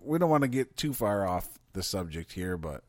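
A man co-hosting a podcast in casual chat, excitable and swearing as he riffs on questions. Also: low voice, moderate pace, no echo. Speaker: podcast co-host.

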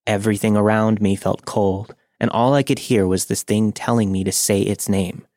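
A man's voice: lowish voice, steady tone, moderate pace, a little mellow, no echo, studio quality. A man narrating a scripted first-person horror story for a podcast.

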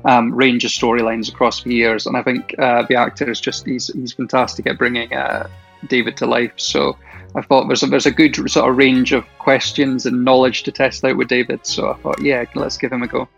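A friendly man in his thirties with a Shetland accent, chatting easily and sometimes pausing to think. The sound is slightly dull, and faint music runs underneath. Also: mid-range voice, level tone, gravelly, fairly bright tone, slightly rough. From Shetland Isles, Scotland. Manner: friendly, chatty, laughs often, some hesitation. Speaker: quiz contestant. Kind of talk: casual interview answers.

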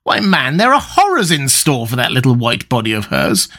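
An earnest older painter from an audiobook classic, played by a male narrator, high and swooping in pitch. He is anguished and morally shocked, pressing his reproaches with pained emphasis. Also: very animated, moderate pace, fairly bright tone, exaggerated voice. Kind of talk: dramatised audiobook reading of a classic novel.

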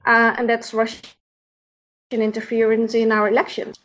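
A Dutch woman with a Dutch accent, a podcast guest who speaks in a halting, thoughtful way while searching for words. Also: on the high side, monotone, a bit bright, lo-fi sound. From Netherlands. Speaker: podcast guest, founder of an organization. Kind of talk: casual interview conversation.

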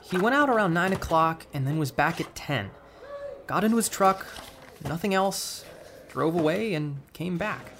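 Sardonic, awkward teen pal, casual audio-drama dialogue, lively swings in intonation, faint ambient noise. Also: female voice, lowish voice, normal speed. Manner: stammering when flustered, casual, wry. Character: teenage friend, awkward, blunt, sarcastic, restless at home.

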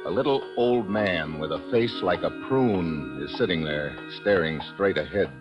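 A man's voice: sits low, measured pace, highs cut off. A man with an American accent acting a brusque, wisecracking secret agent in a 1950s radio drama. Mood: tense narration. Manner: brusque, sardonic, clipped questioning. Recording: 1950s radio recording with music.

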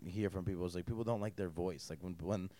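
Fast-talking low-voiced man. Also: mellow, no echo. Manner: fast, rambling, trails low at phrase ends. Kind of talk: casual chat.

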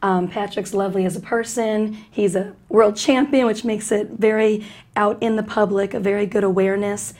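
Woman with a flat, measured voice. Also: medium pitch. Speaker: company representative, guest. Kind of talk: interview.

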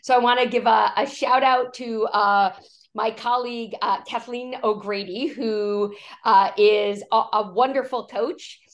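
Woman, hoarse raspy voice, fairly high pitch, animated storyteller, executive coach on a podcast. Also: measured pace. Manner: animated storytelling, acts out quoted speech. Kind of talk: conversational podcast interview.

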